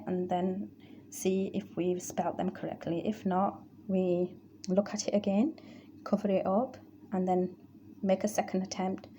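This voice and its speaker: A woman's voice: mid-range voice, warm tone, a little hiss or hum. A woman teaching Arabic grammar, explaining patiently and repeating word lists.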